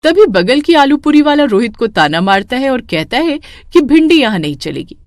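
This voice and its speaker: Expressive woman narrating a story. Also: high voice, moderate pace, no echo.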